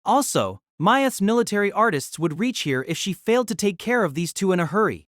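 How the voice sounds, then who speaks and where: A woman delivers dramatic scripted narration for a novel. Her mid-range voice moves animatedly up and down in pitch. The sound is close and dry, with no room echo. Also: big pitch swings, a little fast.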